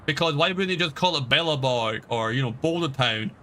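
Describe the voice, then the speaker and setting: A man's voice: on the high side, lively intonation, a little fast, pushed and bright, a little hiss or hum. A man giving casual, opinionated live-stream commentary on a game, explaining things to his viewers as he plays.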